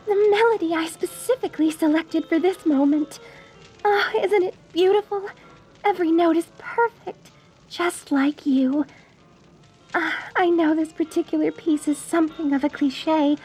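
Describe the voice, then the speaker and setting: A woman playing a sweetly deranged, lovestruck mortician bride in a dark comedy audio roleplay, cooing genteel endearments at the listener with theatrical menace. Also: very high-pitched, measured pace, faint music behind, rough recording. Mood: lovestruck, dreamy.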